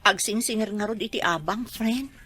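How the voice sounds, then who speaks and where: Humble, bright-voiced struggling mother. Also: mid-range voice, normal speed, slightly rough, no room sound. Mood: weary, anxious. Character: poor struggling young mother, humble and pleading. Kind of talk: Ilocano radio drama acting.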